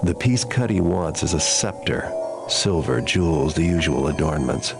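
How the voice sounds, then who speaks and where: Very low-voiced, cynical thief. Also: male voice, lively intonation, gravelly, heavily acted. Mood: cool, calculating. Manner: dry, understated, deliberate. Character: cynical, world-weary master thief, adult man. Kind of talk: scripted noir-style game narration. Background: loud music.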